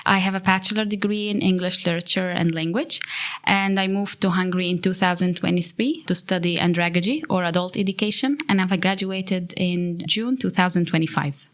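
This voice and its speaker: A woman's voice: lowish voice, steady tone, brisk, fairly bright tone. Young Syrian-accented woman chatting. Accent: Syrian Arabic. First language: Arabic. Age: 20s. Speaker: podcast guest, international student. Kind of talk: conversational interview answers.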